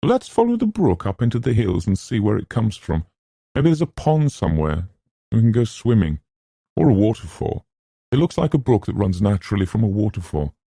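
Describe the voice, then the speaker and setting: A man voicing a flippant young gentleman in a storybook, with a light, lazy, mock-serious manner and playful humour. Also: low voice, big pitch swings, brisk, gravelly, slightly rough, no room sound, studio quality, heavily acted. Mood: eager.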